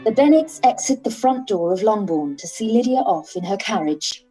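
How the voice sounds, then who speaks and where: A woman reads stage directions for a live-read radio play. Her voice is mellow, and she delivers each line plainly and matter-of-factly. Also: mid-range voice, expressive, moderate pace, soft background music, low-quality recording. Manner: plain, matter-of-fact reading. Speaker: narrator reading stage directions.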